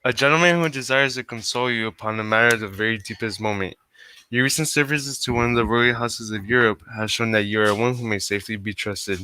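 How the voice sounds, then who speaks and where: A man playing a formal, mysterious gentleman whose letter is heard in a radio drama, speaking in a stiff, measured way. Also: medium pitch, smooth, close to an everyday voice, poor audio. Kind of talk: radio drama acting, reading a letter aloud.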